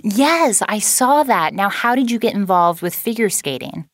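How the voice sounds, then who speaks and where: A woman's voice: mid-range voice, sing-song, normal speed, no room sound, studio quality. A woman interviewing a guest, asking questions with enthusiasm. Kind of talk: casual interview.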